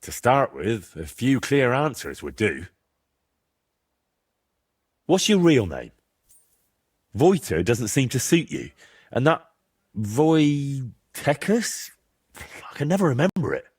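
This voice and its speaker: An earnest, persistent young adventurer in a medieval game. His voice is husky and sits in a middle register, with very lively intonation. He speaks directly and presses with questions. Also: medium pitch, slow, pauses often, exaggerated voice. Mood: wry, demanding. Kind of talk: acted dialogue in a medieval video game.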